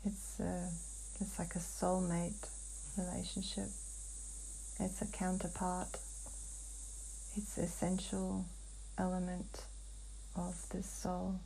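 A woman channeling in a hypnotic session, answering in halting, fragmented phrases with long pauses. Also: fairly low pitch, monotone, gravelly, dark tone, a little hiss or hum, rough recording. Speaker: client in a hypnotic healing session. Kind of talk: slow trance channeling, answering questions.

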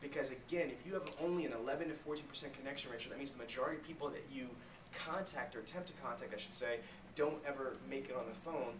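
A man's voice: mid-range voice, fast talker, few pauses. A man in his thirties giving an emphatic sales training talk to a small group, often stopping to ask rhetorical check questions. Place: small meeting room with a flip chart. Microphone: camera mic a few metres away. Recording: echoey room, distant mic, faint noise.

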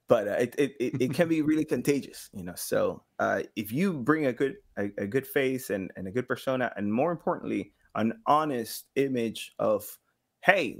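A man in his thirties chatting casually as a podcast guest, thinking aloud haltingly and voicing imagined quotes. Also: mid-range voice, gravelly, breathy edge. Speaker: podcast guest, game sound designer. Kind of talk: casual interview chat. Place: small home room with slanted ceiling, indoors.

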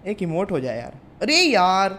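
A man's voice: high-pitched, wildly swooping pitch, unhurried, bright, forceful tone, a little hiss or hum. Young man chatting through mobile game. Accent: Indian. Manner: chatty, addresses viewers as guys and brother. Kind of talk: casual gameplay commentary.

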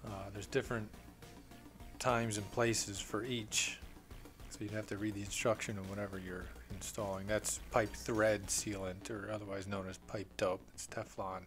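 A man with a fairly low voice talks through his plumbing tools off camera, recorded on a handheld camera indoors. He speaks plainly and without hurry, often pausing on "uh". Faint music sits under his voice. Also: flat delivery, fairly bright tone. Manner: plain, unhurried, with frequent "uh" fillers. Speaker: plumbing contractor. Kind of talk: off-camera tool walkthrough.

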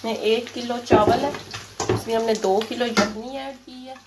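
A woman narrating a cooking recipe step by step, in a steady, instructive way, in a home kitchen. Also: on the high side, unhurried, noisy background. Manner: steady, instructive, repeats "ye dekhiye". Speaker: cooking channel host. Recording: loud kitchen sizzle behind.

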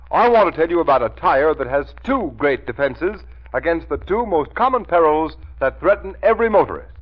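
A man with an American accent announcing in an emphatic, formal 1930s radio style. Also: on the high side. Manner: emphatic, formal, dramatic delivery. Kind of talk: 1930s radio announcing, scripted narration and advertising. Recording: muffled 1930s radio recording.

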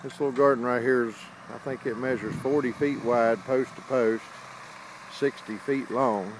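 A man's voice: medium pitch, noisy background. Male farmer, easygoing folksy narrator, outdoor phone recording with tractor engine running. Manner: folksy, unhurried explaining. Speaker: farmer filming his tractor. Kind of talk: casual narration while filming. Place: outdoors on a small hillside farm field. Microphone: handheld phone camera.

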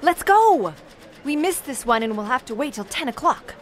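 High-pitched brassy young woman on the run. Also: very animated, moderate pace, slight background noise. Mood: urgent. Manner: snappy, slangy wisecracking delivery. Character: nervous, brassy young female bank teller on the run. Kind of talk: period radio drama acting.

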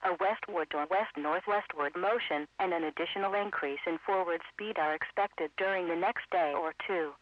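A synthetic man's voice reading a scripted weather bulletin in a measured, impersonal way as an automated radio announcer. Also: high voice, no room sound. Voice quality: synthetic text-to-speech voice. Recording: muffled radio broadcast.

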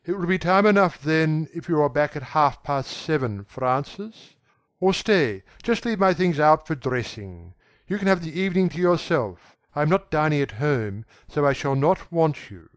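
A man voicing a young gentleman who speaks rapidly and with authority, at times pleading. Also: fairly high pitch, expressive, no room sound, voice pushed up, natural voice. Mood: brisk, commanding. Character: young gentleman, commanding yet anguished.

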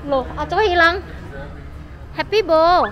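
A woman's voice: very high-pitched, takes it slowly, dull audio. An Indonesian woman chatting casually on the go as she films her family with a handheld camera. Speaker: vlogger filming her family. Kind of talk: casual on-the-go chat. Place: outdoors at roadside stall and hotel grounds. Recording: noisy outdoor recording, people and vehicles.

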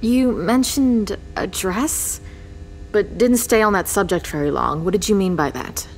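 A woman's voice: medium pitch, a little slow, a little hiss or hum, natural voice. A woman playing a blunt, scornful homicide detective in an audio drama, stern, sarcastic and foul-mouthed.

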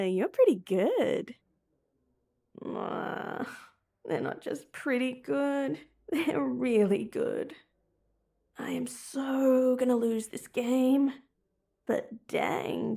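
A woman's voice: high voice, creaky, dark tone, slightly rough, no room sound, studio quality, heavily acted. A woman voicing a young woman with a crush on her childhood friend, teasing playfully and muttering whispered asides to herself. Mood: flustered muttering. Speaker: voice actor. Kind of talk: romantic roleplay audio.

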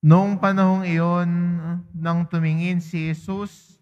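A man, a priest, proclaiming the gospel at Mass in a slow, deliberate way. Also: high voice, level tone, darkish tone, clean tone. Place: large church. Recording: dull, reverberant church sound.

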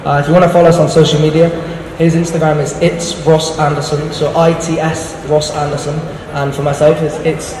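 Fast-talking young Englishman, echoing PA. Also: a little high, little pitch movement, crowd noise. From Devon, England. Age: young adult. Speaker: street musician. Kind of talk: casual patter to passers-by between songs. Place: outdoors, busy city street. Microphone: stand microphone through speaker, filmed from distance. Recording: echoey, noisy street through amplified PA. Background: street noise.

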